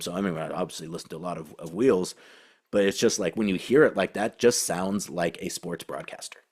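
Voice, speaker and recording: A man with a low-pitched voice talks as a sports podcast host. His delivery is casual and reflective, rambling along with halting phrases and many verbal fillers. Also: darkish tone.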